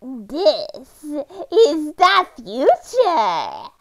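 A woman voicing a melodramatic, sulky goth teenage girl with drawn-out, overdramatic delivery. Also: high voice, wildly swooping pitch, heavily acted. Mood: matter-of-fact, sulky.

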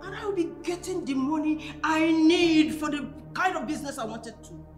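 A woman's voice: high-pitched, normal speed, lightly acted. An actress playing a domineering, greedy middle-aged mother with a Nigerian accent in a screen melodrama, scolding and confrontational. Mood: defiant, indignant. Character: domineering, greedy, quarrelsome mother of a grown daughter. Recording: roomy sound with background music.

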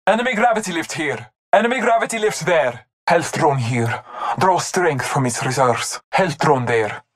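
A man's voice: on the high side, animated, moderate pace, lightly acted. A man voicing a solemn, devout hunter warrior in a video game, speaking in formal, ceremonial phrases. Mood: solemn, reverent. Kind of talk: video game character callouts.

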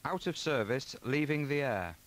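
Mid-pitched man reading short definitions. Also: animated, moderate pace, fairly bright tone. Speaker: announcer on an instructional tape.

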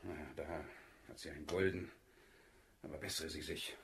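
A man's voice: a bass voice, fairly even intonation, moderate pace, creaky, a bit bright, a little hiss or hum, exaggerated voice. An actor playing a stern, respectable older merchant father in an echoing radio drama scene. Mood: stern disdain.

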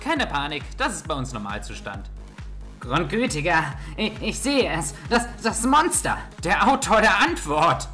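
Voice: mid-range voice, big pitch swings, unhurried, pushed and bright. A pompous, irritable male master detective played by an actor in a parody audio drama. The voice is piercing and swings theatrically as he grumbles, with faint music underneath. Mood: dramatic, raving. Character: irritable, self-important old-school male detective named Edgar, given to visions.

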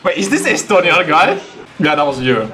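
A man's voice: on the high side, sing-song, normal speed. A young man giving casual, amused gameplay commentary on a livestream, repeating himself as he goes. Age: young adult. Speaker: game streamer. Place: home room, indoors.

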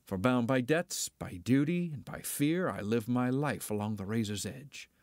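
An actor playing a cocky, roguish smuggler pilot, declaiming archaic verse in an amateur Shakespearean reading. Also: male voice, medium pitch, expressive, moderate pace, a little mellow, no echo, studio quality, lightly acted. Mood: brooding.

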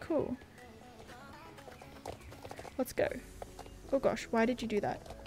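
Young woman, game streamer on a headset, excitable and chatty, a touch higher pitched, soft game music behind. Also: slow pace. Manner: chatty, reads viewer messages aloud, excitable. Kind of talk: casual livestream chat. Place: home room at a gaming setup.

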